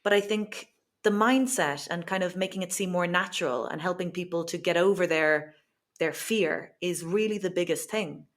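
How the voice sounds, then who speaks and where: Woman guest on a podcast, young, lower voice, earnest and reflective; asks herself questions as she thinks aloud. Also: moderate pace. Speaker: guest, Irish language educator. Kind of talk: podcast interview.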